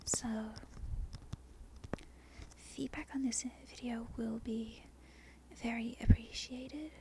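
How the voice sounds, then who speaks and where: Whispering Australian woman, halting and soft. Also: fairly high pitch, fairly even intonation, slight background noise, low-quality recording. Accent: Australian, softened. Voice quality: breathy whisper. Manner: halting, unscripted, self-correcting. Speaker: amateur ASMR video creator. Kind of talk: whispered ASMR ramble. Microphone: non-binaural microphone.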